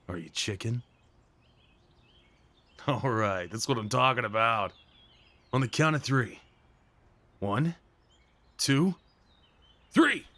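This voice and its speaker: A man acting as a big, gentle guy on a first date, in a mid-pitched voice that swings widely up and down. He is sweet and a little nervous, teasing playfully with boyish enthusiasm in an intimate ASMR roleplay. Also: dry recording, exaggerated voice. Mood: excited, competitive. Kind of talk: ASMR roleplay audio drama, one-sided conversation.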